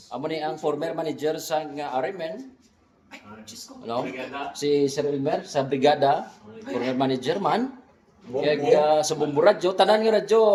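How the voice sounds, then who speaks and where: A man with a Western Visayan Filipino accent chatting casually and jokingly as a vlog host, often tacking on tag questions. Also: fairly high pitch. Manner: jokey, frequent tag questions. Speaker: vlog host, radio drama director. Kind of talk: casual vlog chat introducing colleagues. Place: indoor radio recording room. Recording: echoey room with people chattering.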